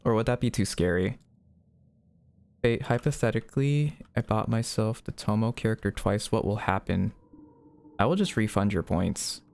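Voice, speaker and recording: A man's voice: fairly low pitch, normal speed, gravelly, a little mellow. Guy on a livestream just chatting, reads out chat msgs and answers them, laid back convo style. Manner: reads chat messages aloud and replies. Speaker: live streamer. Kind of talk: casual chat with viewers.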